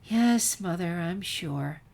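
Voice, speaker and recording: A woman's voice: on the low side, expressive, slow, vocal fry, darkish tone, exaggerated voice. A woman voicing an exasperated, fussing mother in a story. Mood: dry, placating. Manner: exclaiming, fussing. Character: exasperated mother scolding her young daughter. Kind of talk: storybook narration character voice.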